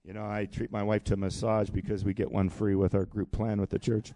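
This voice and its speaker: A man in his sixties preaching a sermon in church, animated and calling for responses from the congregation. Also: on the low side, fairly quick, dry recording. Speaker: pastor. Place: church hall.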